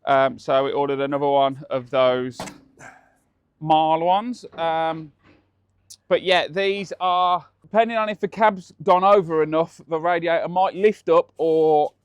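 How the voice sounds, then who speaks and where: A man's voice: fairly high pitch, steady tone, moderate pace, clear, resonant tone, muffled recording. Chatty Brummie man in a workshop. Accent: Birmingham. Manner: chatty, laughing, explaining while working. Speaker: channel host. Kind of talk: casual workshop chat. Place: truck workshop.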